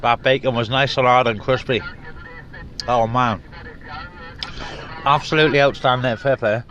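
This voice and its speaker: A man's voice: mid-range voice, moderate pace, dull audio. A man, a CB radio hobbyist, chatting casually and rambling with lots of fillers from inside a parked vehicle. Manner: relaxed, rambling, many fillers. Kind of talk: casual chat to camera.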